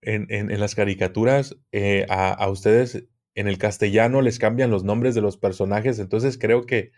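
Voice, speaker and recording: A man's voice: fairly low pitch, steady tone, moderate pace, a little mellow. A man, a guest on a podcast, easygoing and hesitant, talking with plenty of fillers. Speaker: guest, occult video creator. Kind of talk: casual podcast interview.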